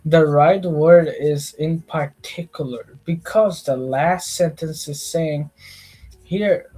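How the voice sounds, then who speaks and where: A sleepy young man reads quiz explanations aloud at a slow, dragging pace, his slightly higher voice husky and breathy. He mumbles and restarts his sentences as he studies late at night, with faint music playing behind him on a webcam mic. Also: mellow, low-quality recording. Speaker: livestreamer studying for a test. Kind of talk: casual late-night study livestream.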